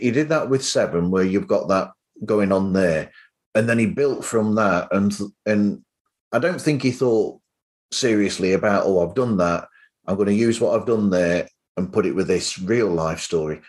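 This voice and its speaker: Low-voiced man hosting a casual chat. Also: moderate pace, darkish tone. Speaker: podcast host.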